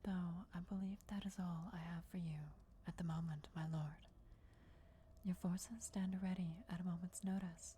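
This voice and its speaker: A woman voicing an ancient, loyal vampire countess in a formal, deferential, measured whisper for fantasy ASMR roleplay. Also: lowish voice, steady tone, mellow, slight background noise, lightly acted. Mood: resolute, loyal. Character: ancient female vampire countess, devoted herald's speaker, formal and reverent.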